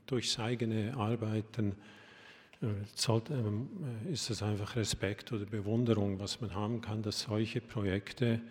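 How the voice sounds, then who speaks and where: Older swiss-accented guy, slow, low-ish voice, lots of ums and restarts, reverby hall. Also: steady tone. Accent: Swiss German. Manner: halting, full of fillers and restarts, glancing at notes. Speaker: architect giving an opening address. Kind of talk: hesitant welcome speech at an exhibition opening. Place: academy event hall.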